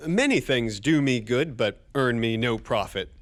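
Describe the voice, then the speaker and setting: An actor playing a kind-hearted young gentleman in a theatrical audio drama, earnest and hearty in manner. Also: medium pitch, animated, a little slow, close to an everyday voice. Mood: earnest warmth. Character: cheerful, kind-hearted young gentleman, miser's warm nephew.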